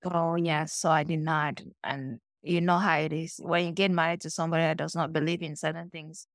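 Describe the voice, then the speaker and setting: A middle-aged woman with a Cameroonian accent tells personal stories in a lively, animated way, slipping into the voices of the people she quotes. Her voice sits on the low side. The sound is dry and close, with no room echo. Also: fairly quick. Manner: animated storytelling, acts out quoted dialogue. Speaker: guest. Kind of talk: conversational interview.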